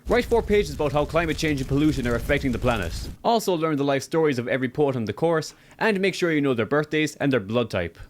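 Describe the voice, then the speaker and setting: A young man with an Irish accent narrating a scripted video with sardonic, deadpan humour. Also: a little high, quick pace, few pauses, a little hiss or hum. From Ireland. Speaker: video essayist.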